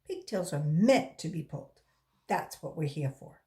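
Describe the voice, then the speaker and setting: Storybook talking pigtail, voiced by a woman: sly, coaxing, cheeky, with animated pitch. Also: moderate pace.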